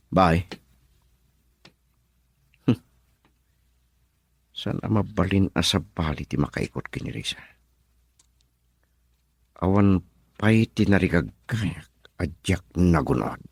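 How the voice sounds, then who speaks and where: A man's voice: low voice, slow pace, pauses often, breathy edge, no echo, heavily acted. A man acting a lovesick, jealous young suitor in an Ilocano radio drama. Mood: polite, then brooding.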